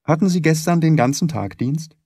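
A man playing a shrewd male detective in an audiobook. He is precise, probing and self-assured, explaining his reasoning courteously. His melody is animated and the sound is dry and close. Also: on the high side, normal speed, dry recording, voice pushed up. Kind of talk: audiobook character dialogue.

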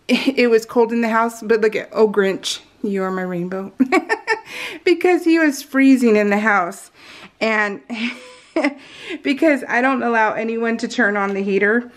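A woman's voice: a little high, a little slow. Chatty, giggly American craft vlogger woman. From California, US. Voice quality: unusual, soft R sounds. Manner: giggly, rambling, self-interrupting. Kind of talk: casual chatty craft process narration. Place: indoors at home, at a craft table.

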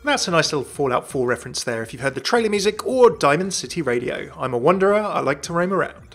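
A man's voice: fairly high pitch, animated, fast, a bit bright, soft background music. A young man hosting and narrating a scripted fan video in a chatty, jokey way.

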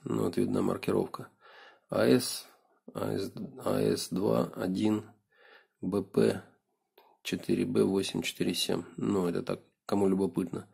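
Hoarse, low, gravelly-voiced man. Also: little pitch movement, darkish tone. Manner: unhurried, thinking aloud with fillers. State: hoarse from a cold. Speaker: video blogger. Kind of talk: casual hands-on gadget teardown. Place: indoors at a wooden desk.

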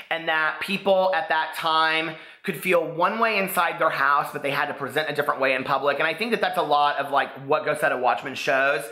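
American man, forties, very bright sharp voice, slightly high pitch, rambling casual vlog, echoey living room. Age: 40s. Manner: excitable, rambling, peppers talk with "like". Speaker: book vlogger. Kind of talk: casual chatty vlog to camera.

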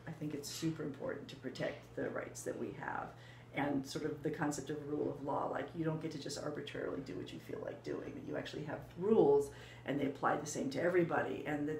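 An older woman calmly explaining her views in a casual conversation. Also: deep voice. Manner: measured, reflective, explaining. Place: small room indoors. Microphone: fixed camera, seated. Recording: roomy with faint background hiss.

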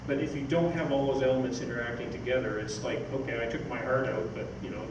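An older man giving a public talk in a reflective, conversational way, pausing to think as he goes. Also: mid-range voice, steady tone, dull audio. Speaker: guest speaker. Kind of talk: public talk with audience questions. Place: small theatre stage, indoors. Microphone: distant camera microphone. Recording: very echoey, noisy hall from far off.

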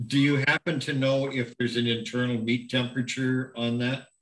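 An older man with a medium-pitched voice co-hosts a cooking show from his kitchen over a video call. He chats casually and asks practical questions. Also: flat delivery, moderate pace, fairly bright tone, poor audio. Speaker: co-host asking questions. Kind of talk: casual chat, questioning the cook. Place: kitchen, indoors.

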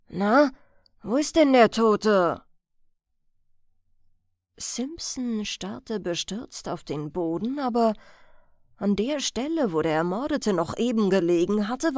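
A woman's voice: fairly high pitch, a little mellow, no room sound, clean studio sound. A woman narrating a crime audiobook as a scripted reading.

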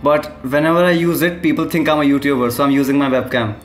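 A man's voice: a little high, quick pace, soft background music. A young man, teasing and playfully chatting with strangers on webcam from his bedroom. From India. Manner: playful, teasing, bit shy. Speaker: video host. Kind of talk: casual video chat with strangers. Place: bedroom, indoors.

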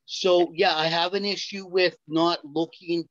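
An older man speaks up on a video call to object, arguing his point with emphasis. His voice sits fairly high for a man. The audio is dull and lo-fi, and dry. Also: steady tone, measured pace, a bit bright, no echo. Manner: argumentative, emphatic. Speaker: seniors group participant. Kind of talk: group discussion on video call.

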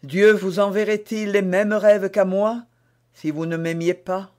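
A man's voice: sits high, level tone, a little slow, a little mellow, voice pushed up. A man reading aloud as an ardent, lovestruck nobleman who speaks fervently and fatalistically. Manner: fervent, declamatory.

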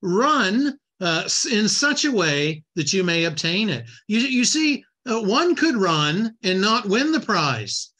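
High, bright-voiced man in his sixties teaching. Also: big pitch swings, slow, hardly any pauses. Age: 60s. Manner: repeats words and restarts, reads scripture aloud. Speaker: Bible lecturer. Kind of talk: Bible teaching talk.

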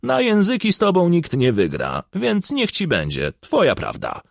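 Man playing a boastful village official, raised pitch. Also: wildly swooping pitch, normal speed, vocal fry, no room sound, studio quality, exaggerated voice. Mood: grudging bantering. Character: self-important village official, boastful, sly, smarting.

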